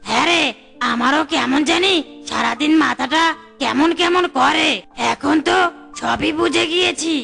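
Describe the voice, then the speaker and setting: A woman's voice: high voice, a little slow, creaky, pushed and bright, soft background music, exaggerated voice. A woman voicing a gullible, grumbling animal character in a children's cartoon. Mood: indignant.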